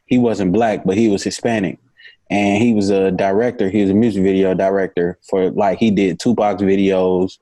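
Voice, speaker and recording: A man's voice: low voice, moderate pace. A young man co-hosting a podcast, talking casually with lots of fillers and restarts. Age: young adult. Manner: relaxed, filler-heavy storytelling. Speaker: podcast co-host. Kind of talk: casual chat.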